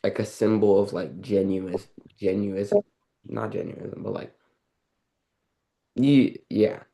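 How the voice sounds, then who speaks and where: A man answers catechism questions in a religious class. His voice is low and gravelly with a dark tone. He recites set answers plainly, then hesitates with likes and ums when explaining in his own words. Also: fairly even intonation, slow pace, slight background noise. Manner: hesitant, filler-heavy when speaking freely. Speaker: class student.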